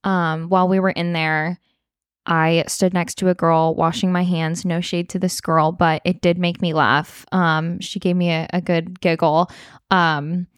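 A woman's voice: on the low side, flat delivery, measured pace, smooth, dry recording, clean studio sound. A woman in her twenties co-hosting a podcast and telling a story in a casual chat. She fills her talk with "like" and laughs often. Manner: chatty, heavy use of "like", laughs often. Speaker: podcast co-host.

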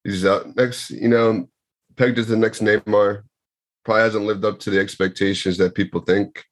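A man with a fairly low voice co-hosts a soccer podcast, full of playful teasing and quick jokes. He talks loosely and casually with his co-hosts. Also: level tone. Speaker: podcast co-host. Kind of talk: casual sports chat.

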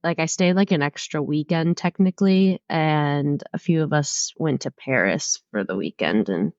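A woman's voice: low-pitched, moderate pace, darkish tone, no echo. A young woman in her twenties co-hosting a casual chat podcast, a bit nasal from a cold, giggling and rambling with lots of "like"s. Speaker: podcast co-host. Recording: slightly muffled lo-fi audio.

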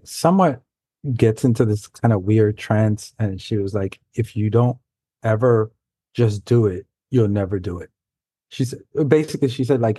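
A man from New York City whose first language is Spanish, telling stories as a guest in a relaxed, rambling way with frequent self-corrections. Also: fairly low pitch, husky, dry recording. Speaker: guest, science fiction author. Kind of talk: casual storytelling in an interview.

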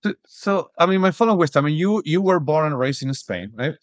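A man's voice: a little high, lively intonation, fast, no echo. A man from Argentina hosting an interview, asking long questions with frequent restarts and "right?" tags. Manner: searching for words, restarts, rising "right?" tags. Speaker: podcast host.

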